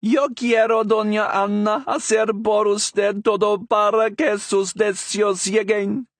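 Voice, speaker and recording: A clever, fawning parrot, voiced by a man speaks in a high, chirping sing-song. Its formal compliments sound thin and a little distant in a dry storybook read. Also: little pitch movement, a little slow, no echo. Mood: flattering.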